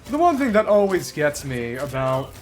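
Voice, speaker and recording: A man's voice: fairly high pitch, big pitch swings, normal speed, faint music behind. A man livestreaming a game, thinking aloud in bookish wording as he chats casually with viewers and wanders between topics. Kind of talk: casual gameplay commentary, chatting with viewers.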